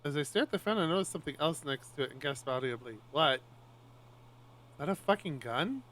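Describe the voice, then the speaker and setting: A man streaming live, reading a visual novel aloud in an expressive, swearing style with loud outbursts. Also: fairly high pitch, a little fast, a little hiss or hum, poor audio.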